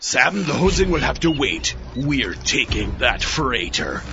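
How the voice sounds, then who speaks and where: A man's voice: medium pitch, very animated, measured pace, crowd noise. A man playing a blustering, eccentric pirate captain with pompous, theatrical delivery in a comedic audio drama. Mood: commanding. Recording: dull band-limited audio under loud music.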